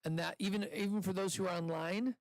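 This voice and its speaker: A man's voice: high voice, steady tone, quick pace, no echo, clean studio sound. Upbeat man hosting a live event. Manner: chatty, upbeat, loose with restarts. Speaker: event host and investor. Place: indoor event room with seated audience. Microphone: handheld microphone.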